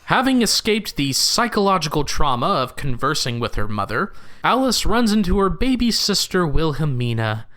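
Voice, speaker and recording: Man acting a pompous, dramatic storyteller, higher-pitched. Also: sing-song, normal speed, a bit bright. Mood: grandiose. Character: pompous male storyteller relishing ominous drama. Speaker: narrator. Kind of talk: theatrical audio drama narration.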